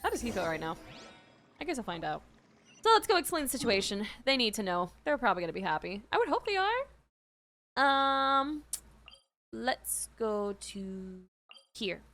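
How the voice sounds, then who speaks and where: A young woman reacts live to a video game in casual, slangy talk. Her voice is fairly high and bright, with a very animated pitch. Faint music sits behind her. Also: moderate pace, pauses often. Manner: expressive, slangy. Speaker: game streamer.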